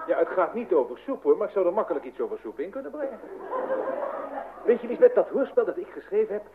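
An actor playing an eager, teasing young brother, chatty and bustling, in an old-fashioned radio play. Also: male voice, sits high, expressive, quick pace, crowd noise. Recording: tinny, noisy old radio recording.

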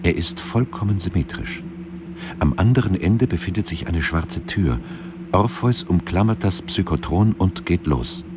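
A man's voice: sits low, expressive, unhurried. A man narrating a surreal radio play in a scripted, storytelling style. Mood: measured, suspenseful. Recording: loud background noise.

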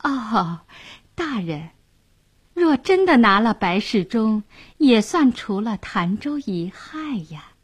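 A woman's voice: on the high side, very animated, takes it slowly, husky, full character voice. Woman acting a coy, sweet young fishwife, lilting. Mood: flattering. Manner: sweet, bashful, deferential. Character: coy, flattering young fishwife, secretly cunning.

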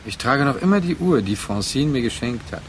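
Brooding man played by an actor, lively pitch. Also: a little hiss or hum, natural voice. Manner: terse, deliberate, reflective. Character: brooding, wounded man haunted by former lover. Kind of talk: introspective radio drama monologue and dialogue.

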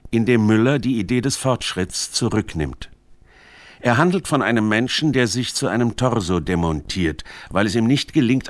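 A man narrating a scripted literary radio feature in a measured, formal, articulate manner. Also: on the low side, dry recording.

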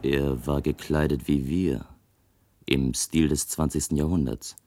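An actor playing a curious, reserved husband from a future society, narrating and talking politely and reflectively in a science-fiction radio drama. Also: male voice, very deep voice, steady tone, unhurried, dark tone, a little hiss or hum.

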